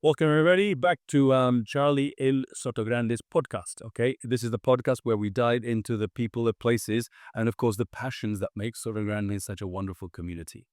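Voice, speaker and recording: A man's voice: mid-range voice, fairly even intonation, brisk, hardly any pauses, a little mellow, no echo, studio quality. A man hosting a podcast interview, talking casually and hesitantly with many fillers. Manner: hesitant, many ums and uhs, says okay often. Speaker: podcast host.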